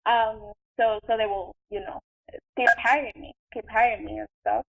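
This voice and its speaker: A young woman from Venezuela with a Venezuelan Spanish accent, telling her story casually and haltingly in an interview, with many fillers. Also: on the high side, steady tone, vocal fry, narrow, tinny audio. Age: young adult. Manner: halting, filler-heavy, conversational. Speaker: podcast guest, actress and chef.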